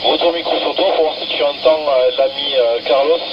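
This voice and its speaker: A man's voice: a little high, fairly even intonation, fairly quick. A man on a casual radio contact, explaining things and repeating himself. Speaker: amateur radio operator. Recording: very noisy, muffled radio transmission.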